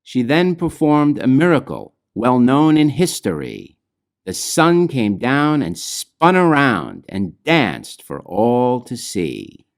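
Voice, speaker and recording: A man reads rhyming children's storybook verse as its author, in a measured, expressive way with phrase endings trailing softly. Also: medium pitch, gravelly. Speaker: author reading own children's book. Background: music.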